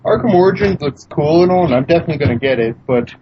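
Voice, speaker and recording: A man's voice: on the high side, expressive, fast talker, breathy edge. A young man co-hosting a podcast, speaking in a laid-back, casual way and correcting details. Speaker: podcast co-host. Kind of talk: casual chat. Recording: dull lo-fi home recording.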